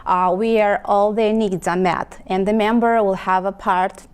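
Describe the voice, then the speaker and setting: A woman from Moldova whose first language is Romanian, answering interview questions about her home care company, pausing often with ums and restarts. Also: mid-range voice, fairly even intonation, clean tone. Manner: hesitant, many ums and restarts.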